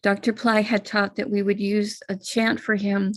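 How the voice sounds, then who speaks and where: A middle-aged woman with an American accent, a spiritual teacher calmly guiding listeners step by step through a live-streamed ritual. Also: mid-range voice, normal speed, no room sound. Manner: calm, gentle step-by-step guidance.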